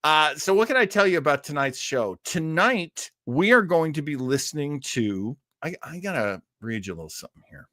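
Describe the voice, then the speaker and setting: Lively, animated middle-aged man hosting. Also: a little high, moderate pace, few pauses, no echo, clean studio sound. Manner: rambling, effusive, self-deprecating. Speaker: host of an old-time radio show livestream. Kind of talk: casual, chatty livestream hosting.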